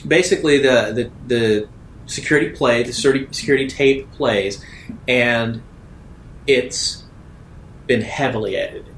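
A man improvises narration as the game master of a tabletop roleplaying session. He has a mid-pitched voice. The recording is echoey, with faint noise and rumble behind him. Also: moderate pace, pauses often, fairly bright tone.

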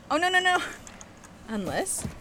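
A young woman streamer giving excitable, giggly commentary while playing, on a headset mic. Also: very high-pitched, takes it slowly, a little hiss or hum. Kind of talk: casual gameplay commentary.

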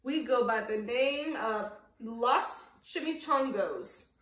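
A woman voicing a commanding male chihuahua bandit leader in a children's storybook, with a slow, growling, grave delivery. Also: fairly high pitch, a bit bright, echoey room. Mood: gruff challenge.

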